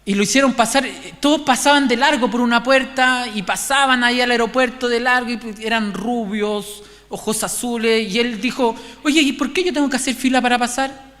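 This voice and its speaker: A man's voice: very high voice, steady tone, moderate pace, a bit bright. Chilean man preaching in an echoey hall. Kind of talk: sermon, informal and conversational with anecdotes. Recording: echoey hall, long reverb.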